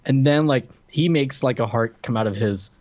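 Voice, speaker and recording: A man's voice: mid-range voice, moderate pace, darkish tone. A man co-hosting a podcast, reviewing a film in casual chat with a joking, mock-conspiratorial manner. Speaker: podcast co-host.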